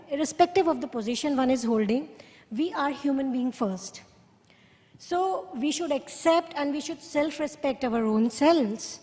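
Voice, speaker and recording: A woman's voice: high voice, darkish tone. A woman neuroscientist speaking on a conference panel, earnest and explanatory. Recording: reverberant conference hall.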